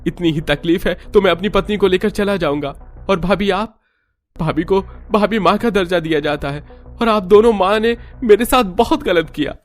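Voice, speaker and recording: A man's voice: sits high, moderate pace, soft background music, lightly acted. A man voicing a hurt, reproachful younger son in a moral-story cartoon.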